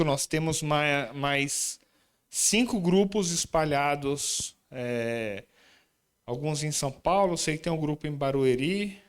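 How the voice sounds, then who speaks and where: A man preaches to his church with an informal, chatty manner, joking with the congregation between points. His voice sits a bit high and comes across smooth and clear, as if he's talking right to the room. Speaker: visiting preacher leading a student group. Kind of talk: sermon. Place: church sanctuary.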